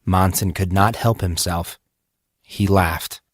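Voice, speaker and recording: A man's voice: low-pitched, fairly even intonation, takes it slowly, no room sound, clean studio sound. A man reading scripted fiction as an audiobook narrator.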